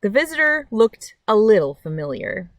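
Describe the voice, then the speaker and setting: Animated woman reading to children. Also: medium pitch, normal speed, no echo. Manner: animated storyteller, simple friendly explaining to children. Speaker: read-aloud host. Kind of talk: children's storybook read-aloud with casual chat. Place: outdoors by a meadow.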